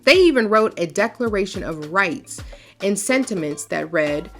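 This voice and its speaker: A woman reading a picture book aloud for children in an expressive, folksy storyteller's way, then talking to her young viewers. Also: mid-range voice, moderate pace, soft background music.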